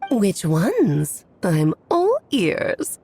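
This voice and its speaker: An actress playing a poised, playfully inquisitive sorceress hostess in a game, with an elegant, theatrical delivery. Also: female voice, mid-range voice, huge pitch swings, very slow, heavily acted. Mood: intrigued. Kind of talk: scripted English game voice acting. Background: soft background music.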